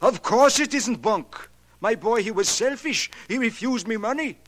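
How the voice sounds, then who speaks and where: An unhinged, bitter zealot in old-time radio melodrama. The voice is high and swings widely, with a foreign accent, broken English and theatrical intensity. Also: male voice, expressive, normal speed, fairly bright tone. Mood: indignant. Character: unhinged foreign astrology fanatic, murderous, bitter father. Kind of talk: melodramatic 1940s radio acting. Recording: vintage 1940s radio recording.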